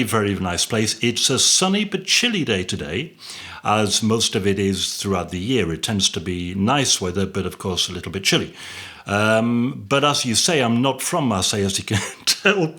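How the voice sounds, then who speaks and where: A man's voice: fairly low pitch, a bit bright. A middle-aged man from England, a drummer and music teacher, chatting casually from home with rambling, self-deprecating asides and frequent restarts. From Yorkshire, England. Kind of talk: casual interview chat. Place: at home on a sofa.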